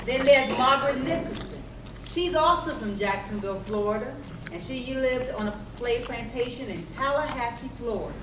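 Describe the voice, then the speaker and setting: Higher-voiced woman reading in echoey chapel. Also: expressive, moderate pace. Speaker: readers theater narrator. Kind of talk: scripted stage narration. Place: indoor chapel, live performance. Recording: echoey room, distant mic, clear background noise.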